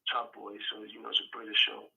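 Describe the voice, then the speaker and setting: A man's voice: fairly low pitch, completely flat delivery, quick pace, creaky. A man from New York, an actor being interviewed over the phone, talking casually and rambling with many restarts. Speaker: actor guest. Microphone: phone call. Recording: phone line.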